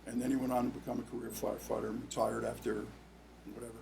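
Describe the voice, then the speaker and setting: Gravelly older man in conversation. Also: medium pitch, flat delivery, racing, background noise. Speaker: interview guest, retired fire official and school principal. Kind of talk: conversational interview.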